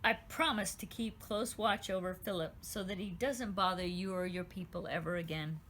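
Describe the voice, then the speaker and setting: A woman's voice: on the low side, fairly even intonation, moderate pace, fairly bright tone, a little hiss or hum, close to an everyday voice. A polite young girl, voiced by a woman. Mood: apologetic. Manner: polite and eager.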